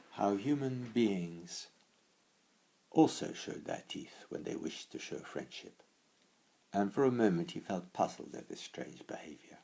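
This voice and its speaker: A man with a deep, breathy-husky voice reads a story aloud. His narration is expressive and deliberate, broken by dramatic pauses. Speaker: author reading own story.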